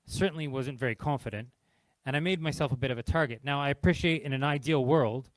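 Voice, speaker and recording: A middle-aged man from Canada, with a Canadian accent, speaks at an industry event in a candid, conversational way. He admits he is nervous and walks around as he talks. His voice sits at a middle pitch and sounds dry and close, with little room echo. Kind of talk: personal storytelling talk. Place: event venue, in front of a live audience.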